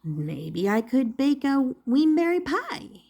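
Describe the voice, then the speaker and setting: A woman reads a young wooden puppet fellow in a storybook. He is earnest and wistful, hesitating and musing slowly, with plenty of rise and fall. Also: high voice, very animated, gravelly, dark tone, exaggerated voice.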